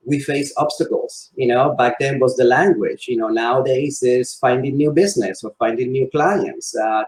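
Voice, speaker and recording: A man's voice: mid-range voice, darkish tone. Man in a roomy space. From Venezuela and Spain. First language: Spanish. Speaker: interview guest, real estate salesman. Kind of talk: conversational interview answers. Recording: roomy, echoing sound.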